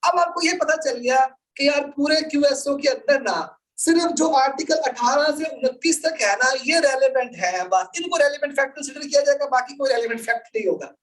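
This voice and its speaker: A woman's voice: high voice, brisk, few pauses, far from the mic, poor audio. A woman teaching law to students in a didactic way, repeating her points and mixing in English with her Hindi-Urdu. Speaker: law lecturer. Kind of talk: live lecture to students, explaining and reading out articles.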